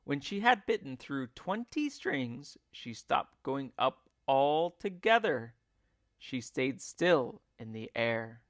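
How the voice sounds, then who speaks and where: A man narrates a children's storybook with theatrical expression. His pitch moves widely while the pace stays slow and measured. The sound is dry and close. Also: fairly bright tone, dry recording. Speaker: teacher reading a children's book aloud.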